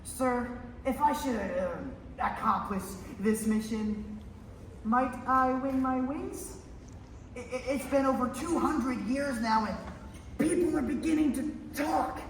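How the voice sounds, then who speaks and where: A woman's voice: high-pitched, slow pace. A woman playing an eager, fussy, naive apprentice angel in a staged radio play. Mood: eager, flustered. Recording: echoey hall from far off, noisy.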